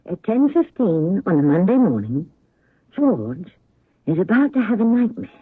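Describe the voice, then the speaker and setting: A man narrates theatrically on a thin, dry old radio recording. His voice is fairly high and very expressive, with dramatic, deliberate phrasing. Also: phone-quality sound, slight background noise. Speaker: host and narrator.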